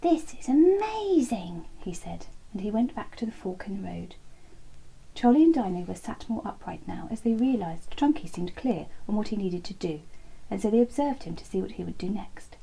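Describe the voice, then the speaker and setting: A woman reading a bedtime story aloud in a soft, half-whispered, lilting way. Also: medium pitch, fairly quick, creaky, husky, low-quality recording.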